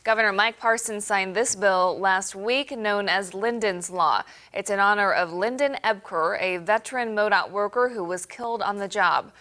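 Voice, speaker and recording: A woman's voice: mid-range voice, moderate pace, few pauses, pushed and bright. A female news anchor on a morning show, reading the news steadily and bantering in a friendly way with her co-anchor. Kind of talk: morning newscast.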